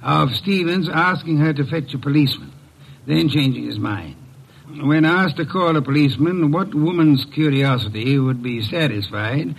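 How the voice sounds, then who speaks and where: An actor playing an older, portly, pompous detective genius in old radio drama style, speaking formally and precisely with a gravelly, husky voice. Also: male voice, a little high, normal speed, rough recording. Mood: lofty, explaining.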